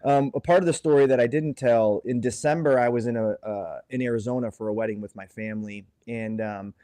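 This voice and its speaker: A man's voice: mid-range voice, fairly even intonation, brisk, mellow, no echo. Candid male podcast guest, dry close sound. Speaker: podcast guest, co-founder of a support movement. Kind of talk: candid interview talk.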